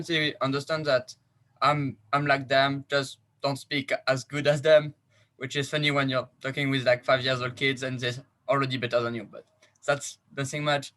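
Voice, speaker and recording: A man's voice: mid-range voice, fairly bright tone. A young man from France with a French accent, introducing himself hesitantly with many fillers, speaking on a video call. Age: young adult. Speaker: scholarship recipient.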